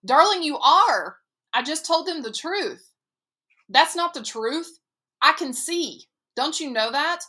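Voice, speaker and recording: A woman voicing a proud, stubborn middle-school boy goalie who protests indignantly and rises to shouting. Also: high voice, very animated, measured pace, voice pushed up, heavily acted. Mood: accusing. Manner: indignant, pleading, rising to shouts.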